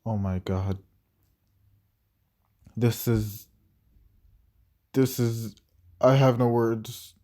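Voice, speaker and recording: A man's voice: mid-range voice, mellow, natural voice. A man playing a local TV news anchor in an audio drama, with a formal announcer delivery. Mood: shaken. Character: local TV news anchor, male, composed then shaken.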